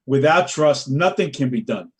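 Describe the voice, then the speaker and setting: A man's voice: medium pitch, animated, moderate pace, husky. A middle-aged man moderating a panel, talking conversationally and telling stories. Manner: conversational, storytelling with fillers. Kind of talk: panel discussion.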